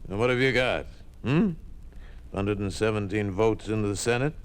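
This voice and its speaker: A man playing a smug, low-voiced communist official. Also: normal speed, clear, resonant tone, close to an everyday voice. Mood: smug, mocking. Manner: condescending, sardonic, coolly threatening. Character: smug, menacing communist official taunting a rival. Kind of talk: 1950s radio drama acting.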